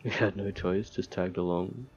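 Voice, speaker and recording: A man's voice: low-pitched, fairly even intonation, normal speed, faint music behind. A man doing casual gameplay commentary, reading game text aloud and sometimes stumbling, recorded with a slightly dull lo-fi sound. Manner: mock-despairing asides, stumbles while reading. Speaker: gaming video host.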